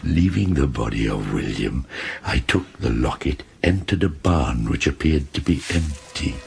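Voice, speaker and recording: An actor playing Frankenstein's monster, a lonely, bitter, deformed creature speaking with eloquent, brooding menace in a radio drama. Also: male voice, extremely low pitch, expressive, gravelly, a little mellow, a little hiss or hum, exaggerated voice. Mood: brooding, menacing. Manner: theatrical, deliberate, brooding delivery.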